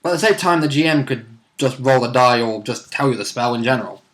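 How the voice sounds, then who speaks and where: A man's voice: medium pitch, quick pace, a bit bright. Informal man rambling about tabletop gaming. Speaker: player in a tabletop role-playing game. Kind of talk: casual chat about game rules and play.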